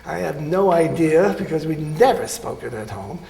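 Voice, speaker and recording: Older man with a gravelly voice. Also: on the high side, lively intonation, mellow, echoey room. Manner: halting, many pauses and fillers. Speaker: surgeon interviewee. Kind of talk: oral history interview.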